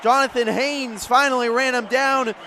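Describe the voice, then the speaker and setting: High-pitched American man calling play-by-play. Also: slight background noise. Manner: measured delivery rising to excited calls. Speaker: play-by-play sports announcer. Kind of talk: sports radio and TV play-by-play commentary.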